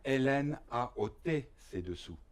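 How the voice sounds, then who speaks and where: A man from Belgium performing a comic stage monologue in a mock-serious, deliberate way, with pauses for effect. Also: medium pitch, expressive. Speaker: stand-up performer.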